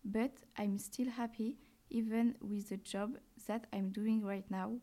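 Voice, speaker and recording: A woman guest on a podcast, answering questions in a careful, slightly halting way. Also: mid-range voice, fairly even intonation, warm tone.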